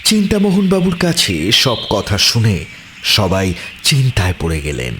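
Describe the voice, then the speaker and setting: A man's voice: on the low side, sing-song, a little slow, background noise. A man narrating a horror story in a dramatic, scripted storytelling style.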